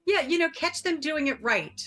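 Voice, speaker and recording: A middle-aged woman appearing as a parenting expert on a TV talk show, explaining her advice calmly and clearly. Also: high-pitched, moderate pace, music in the background. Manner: calm, explanatory, warm advice-giving.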